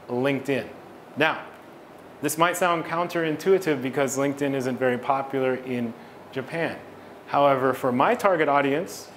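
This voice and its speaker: A middle-aged man presenting a business talk to an audience in a small event space, steady and clear with the odd filler and self-correction. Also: mid-range voice, slight background noise. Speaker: business consultant giving a talk.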